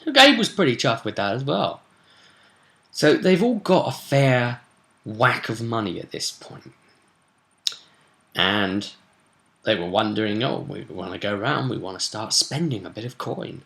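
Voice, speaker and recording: A British man hosts a casual vidcast as a game master. His voice sits a little low but has a bright, forceful edge. He tells the story in a loose, chatty ramble peppered with slang. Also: lively intonation, a little slow, creaky, pauses often. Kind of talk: casual solo recap of a tabletop role-playing session.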